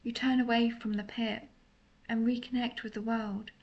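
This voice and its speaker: Soft, creaky woman as a melancholy vampire lover. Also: on the high side, little pitch movement, moderate pace, dull audio, close to an everyday voice. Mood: melancholy, lonely. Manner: soft, confessional, partly whispered. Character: tender, melancholy centuries-old female vampire lover. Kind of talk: intimate scripted audio roleplay monologue.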